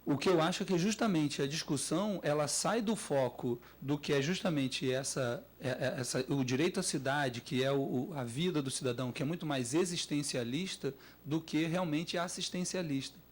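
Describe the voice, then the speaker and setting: A man with a Brazilian accent speaking off the cuff as an academic panelist, halting and repeating words as he develops his points. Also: a little high. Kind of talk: spontaneous panel discussion.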